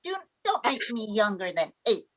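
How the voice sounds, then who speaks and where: A woman's voice: mid-range voice, very animated, normal speed, fairly bright tone, no echo. A woman student actor chatting informally with her drama class. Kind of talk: casual chat.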